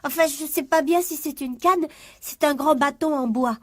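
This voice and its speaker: Casting: adult woman for French schoolgirl of fourteen, high voice, anxious, secretive, pleading delivery, radio play. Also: moderate pace, natural voice. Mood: frightened, secretive. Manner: hesitant, repeating words, pleading. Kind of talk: French radio drama acting.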